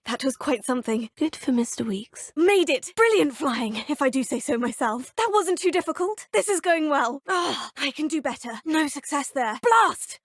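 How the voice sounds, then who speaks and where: A woman's voice: sits high, no room sound. An actress voicing a polite, composed young female student witch with a British accent, speaking game dialogue close to a studio mic. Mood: sporty. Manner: polite, proper and well-spoken. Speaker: player character, student. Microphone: close studio mic, dry.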